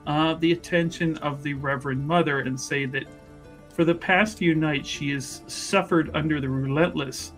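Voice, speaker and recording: A man playing a tabletop roleplaying game, narrating a character casually in a wry, discursive way. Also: fairly high pitch.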